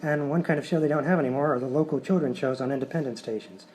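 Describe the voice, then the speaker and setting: A man's voice: medium pitch, fast talker, darkish tone. A man presenting a live comedy show as its writer, talking conversationally to the audience. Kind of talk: stage introduction, conversational. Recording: live stage, faint noise.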